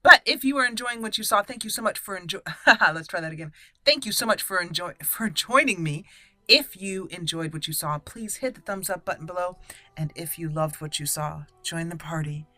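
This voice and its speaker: A woman's voice: fairly low pitch, fairly quick, pushed and bright, no room sound. A woman hosting a casual game walkthrough, thinking aloud in short fragments as she plays. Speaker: gaming channel host.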